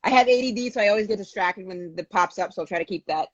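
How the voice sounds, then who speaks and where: A woman's voice: medium pitch, quick pace, no echo. A young adult woman from Maine, a comedian being interviewed over a video call. She talks casually, rambling and correcting herself as she goes. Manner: rambling, easily distracted, self-correcting. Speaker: comedian guest. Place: indoors at home. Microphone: phone on a video call. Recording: dull lo-fi phone audio.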